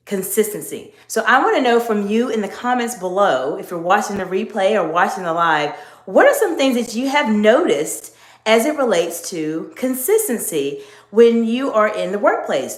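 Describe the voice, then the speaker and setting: A middle-aged woman coaching viewers on leadership in a live stream, speaking in a measured, emphatic teaching tone. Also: mid-range voice. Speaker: executive coach. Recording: echoey room.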